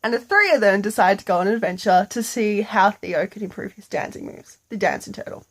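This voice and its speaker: A woman's voice: medium pitch, expressive, a little fast, low-quality recording. A woman telling an improvised bedtime story in a casual, playful, slangy way.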